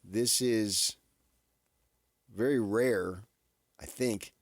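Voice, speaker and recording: Male radio sports host, fairly low pitch, casual, halting and emphatic, dry recording. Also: no echo.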